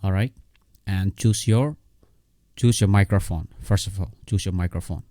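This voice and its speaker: Deep, mellow man giving a halting tutorial. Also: no echo, studio quality. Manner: halting, repetitive, with frequent check-in words. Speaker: software tutorial host. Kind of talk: casual unscripted screen tutorial.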